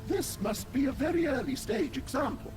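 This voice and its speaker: A man's voice: extremely high pitch, very animated, moderate pace, gravelly, a little mellow, faint music behind, heavily acted. A man voicing a fussy, formal alien scientist in a video game, speaking in verbose, pedantic phrases. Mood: earnest, explanatory. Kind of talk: scripted video game character acting.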